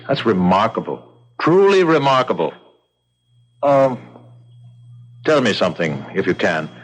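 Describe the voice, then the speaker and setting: A man playing a courteous, probing official in a radio drama, speaking with formal, measured politeness. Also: medium pitch, breathy edge, exaggerated voice. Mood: intrigued, solicitous. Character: courteous, probing male official of a secretive membership.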